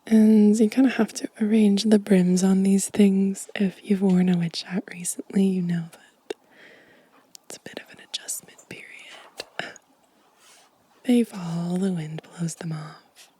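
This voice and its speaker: Female ASMR host, very soft and mellow, whispering gently in a breathy, slow delivery. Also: mid-range voice, steady tone. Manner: gentle, unhurried. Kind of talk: soft-spoken, whispered ASMR show and tell.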